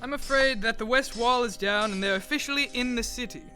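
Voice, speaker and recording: A man's voice: very high voice, fairly quick, pushed and bright, soft background music. A man playing a dutiful, earnest army aide in a sci-fi audio drama. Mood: worried.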